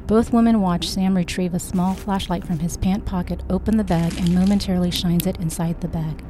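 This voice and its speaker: Low-voiced woman reading a story, mellow tone. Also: steady tone, brisk, slight background noise. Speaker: audiobook reader. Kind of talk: scripted story narration, read aloud.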